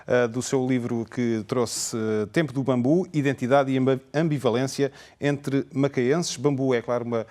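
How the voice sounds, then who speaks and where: A man, hosting a TV interview and framing questions in a measured way with small fillers. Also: mid-range voice, fairly even intonation, a little mellow.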